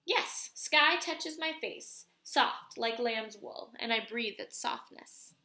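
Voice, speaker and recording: A woman's voice: a little high, animated, slow, sharp tone, reverberant, exaggerated voice. A woman voicing an eager, earnest young boy in a storybook read aloud. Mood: wondering.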